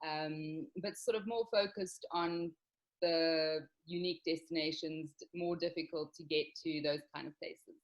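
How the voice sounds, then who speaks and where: A woman in her thirties from South Africa with a South African accent, a travel company owner answering interview questions in a relaxed way, with many ums. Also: deep voice, low-quality recording. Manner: soft-spoken, many ums, unhurried. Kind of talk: casual interview.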